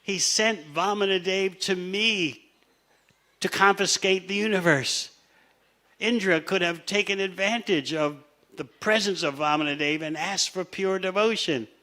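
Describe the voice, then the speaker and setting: A man's voice: sits high, unhurried, pushed and bright. A man teaching a scripture class in a conversational way, asking his listeners questions and sometimes laughing. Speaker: spiritual teacher.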